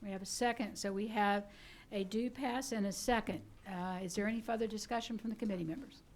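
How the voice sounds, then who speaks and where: A woman chairing a legislative committee hearing, running votes and calling speakers in a brisk, procedural way. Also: medium pitch, steady tone, a little hiss or hum.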